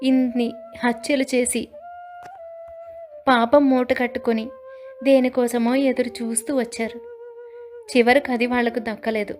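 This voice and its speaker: A woman reads a scripted story as narrator. Her voice is a little high and keeps an even, unvarying tone. Faint music plays behind her.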